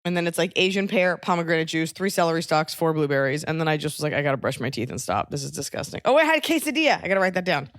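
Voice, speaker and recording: A woman with a slightly low voice chats casually as a podcast host. She is wry and makes fun of herself as she goes. The sound is close and dry. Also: big pitch swings, very fast, hardly any pauses, no echo. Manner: wry, self-mocking. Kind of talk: casual comedic podcast chat.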